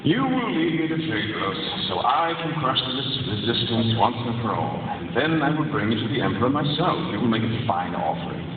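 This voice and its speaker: An actor playing a vain, menacing Imperial commander, pompous and theatrical, in a live stage audio drama. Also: male voice, medium pitch, very animated, fairly quick, hardly any pauses, close to an everyday voice. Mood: gloating, menacing. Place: very large arena stage. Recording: echoey arena, distant, tinny, noisy.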